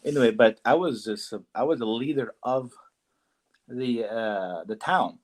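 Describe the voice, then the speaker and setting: A man who works as a casting director and actor, talking casually in a halting, rambling way with many fillers. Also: mid-range voice. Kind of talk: casual interview conversation.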